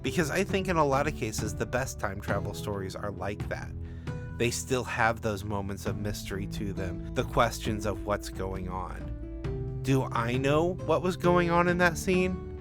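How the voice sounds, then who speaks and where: A man's voice: medium pitch, animated, measured pace, over music. A man working as author and narrator, reading stories and commenting on his writing.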